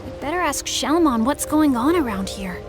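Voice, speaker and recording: A woman playing an earnest, excitable young companion in a fantasy video game, expressive and wide-eyed. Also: high voice, moderate pace, music in the background. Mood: thoughtful. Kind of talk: English video game voice acting.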